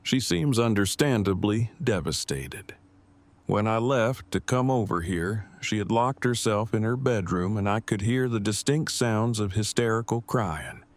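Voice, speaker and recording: An actor playing an awkward, earnest man who speaks in a formal, wordy, deliberate way in a fan audio drama. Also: lowish voice. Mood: matter-of-fact.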